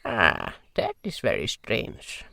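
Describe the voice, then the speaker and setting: A man voicing a small, odd amphibian healer who talks calmly in slow, simple, broken phrases for an audio drama. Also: on the low side, gravelly, very noisy, full character voice. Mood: quiet, curious. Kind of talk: audio drama character acting.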